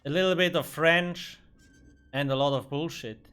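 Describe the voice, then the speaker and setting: A man whose first language is Swiss German talks through his game stream in a bright, forceful voice set a little high. His commentary is loose and salty, full of muttered reactions between answers to chat. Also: normal speed, clean tone, soft background music. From Switzerland. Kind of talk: casual gameplay commentary.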